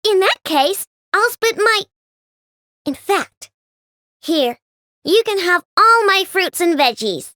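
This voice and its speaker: A woman's voice: very high-pitched, very animated, slow pace, pauses often, sharp tone, no room sound, studio quality, heavily acted. A bubbly sugar-mad girl inventor. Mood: playful. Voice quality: bubbly, childlike and bouncy. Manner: playful, wheedling, with gasps and giggles. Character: cheerful young girl inventor, sugar-loving and playful. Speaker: game character voice. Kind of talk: game voice lines.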